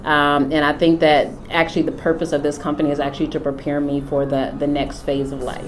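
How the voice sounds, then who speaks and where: Low-voiced woman, thoughtful testimony. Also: flat delivery, soft background music, rough recording. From United States. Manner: unhurried, thoughtful, many filler pauses. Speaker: company founder and CEO. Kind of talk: reflective personal testimony.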